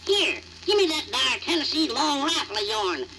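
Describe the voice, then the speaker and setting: A boastful little cartoon woodpecker with brash, folksy swagger. The voice is high and leaps around animatedly, under heavy surface noise from a 1950s children's 78rpm record. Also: big pitch swings, moderate pace. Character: cocky, boastful cartoon woodpecker, tall-tale fibber. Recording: noisy old 78rpm record.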